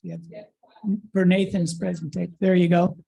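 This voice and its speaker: A moderator giving brief, flat prompts to panelists. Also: medium pitch, normal speed, gravelly, slightly rough.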